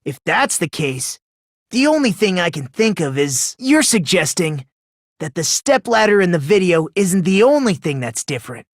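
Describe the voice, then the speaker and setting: An actor voicing a polite, self-loathing, eerily excitable teenage boy in an English video game, musing softly and prone to breathless gushing. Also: mid-range voice, moderate pace, dry recording, studio quality. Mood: analytical.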